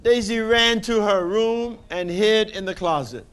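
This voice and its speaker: A man narrating a children's picture book expressively and deliberately, with dramatic pauses. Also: high-pitched, a bit bright, clear, resonant tone. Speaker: storybook reader.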